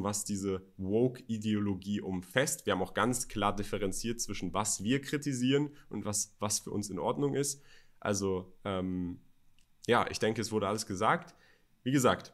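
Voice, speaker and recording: A man's voice: mid-range voice, a bit bright. A man, co-hosting a conversational podcast. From Berlin, Germany. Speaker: podcast co-host. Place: home office studio, indoors. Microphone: studio microphone on boom arm, close.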